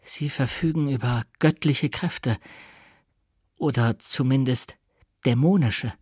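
A man plays a grateful nobleman in a storybook reading. He speaks with formal, fervent earnestness and awe, in a husky voice recorded close and dry. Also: mid-range voice, takes it slowly, darkish tone, no echo. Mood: awed. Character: astonished, grateful young nobleman, male, solemnly fervent. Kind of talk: dramatised audiobook reading.

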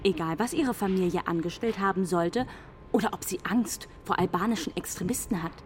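A woman's voice: fairly low pitch, a little fast, a little hiss or hum, natural voice. A woman playing a stern, curt female immigration office caseworker in a radio play. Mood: cold, dismissive. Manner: cold, curt, bureaucratic.

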